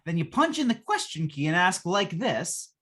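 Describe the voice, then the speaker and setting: A woman's voice: fairly low pitch, big pitch swings, moderate pace, over music. A woman playing a folksy, long-suffering salesman in a 1950s-style radio drama, giving colloquial, patient sales patter. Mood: eager, explanatory.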